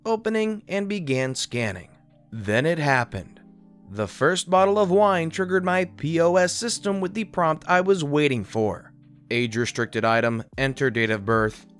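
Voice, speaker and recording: Man reading stories over soft music. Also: on the high side, unhurried, smooth. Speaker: narrator reading online stories. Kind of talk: scripted narration.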